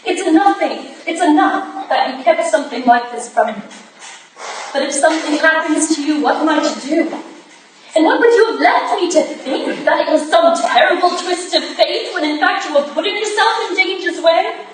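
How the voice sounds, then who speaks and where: Woman playing a high-voiced indignant Victorian wife, echoey. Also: moderate pace. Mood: reproachful. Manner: reproachful, pointed, theatrical. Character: hurt, indignant Victorian wife and mother, sharply challenging. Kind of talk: staged reading of a period drama. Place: staged reading in a room, recorded from afar. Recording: distant echoey room, faint noise.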